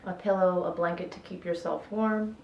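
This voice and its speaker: A woman guiding a relaxation meditation in a measured, soothing way, with gentle pauses. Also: lowish voice, steady tone, vocal fry, muffled recording. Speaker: yoga nidra guide. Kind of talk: guided relaxation meditation, instructional narration. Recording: echoey room with faint background noise.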